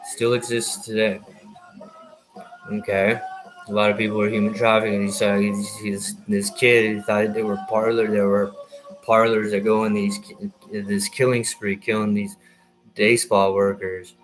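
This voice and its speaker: A man's voice: on the low side, flat delivery, unhurried, music in the background, low-quality recording. A man in his thirties, a military veteran, rants through a rambling, heated monologue full of swearing and false starts. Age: 30s. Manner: heated, profane, many restarts.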